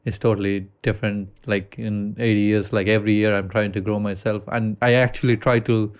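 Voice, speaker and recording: A man with an Indian accent hosting a casual podcast chat, talking loosely with frequent swearing and halting restarts. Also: fairly low pitch, level tone, clean tone. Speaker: podcast host.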